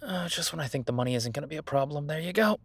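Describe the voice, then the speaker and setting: A man's voice: fairly high pitch, expressive, very fast, fairly bright tone, husky, exaggerated voice. A man playing a friendly, self-made CEO and old college friend in a roleplay audio drama, talking casually with stammers and self-deprecation. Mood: flustered, awkward. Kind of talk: intimate one-sided roleplay audio drama, conversational.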